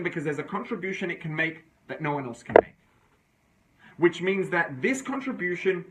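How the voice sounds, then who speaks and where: A man with a somewhat high voice gives an informal religious class. He talks things through in a loose, conversational way, restarting his sentences and often asking "right?" A faint hiss sits under the recording. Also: fairly bright tone, rough recording. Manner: reasoning aloud with restarts. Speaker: Torah teacher.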